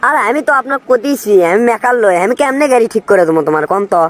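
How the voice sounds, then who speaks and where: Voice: medium pitch, lively intonation, very fast, fairly bright tone, close to an everyday voice. An actor voicing a flirtatious village man in a comic cartoon skit, speaking with a northern Bangladeshi Bogura accent and breaking into song. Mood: comic grumbling. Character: flirtatious village man serenading women on the road.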